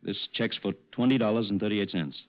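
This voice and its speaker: Man acting a low-voiced, businesslike federal agent. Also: brisk, natural voice. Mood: methodical, firm. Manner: formal, polite, probing. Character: businesslike, methodical male FBI special agent. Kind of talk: 1940s radio acting. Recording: muffled old-time radio recording.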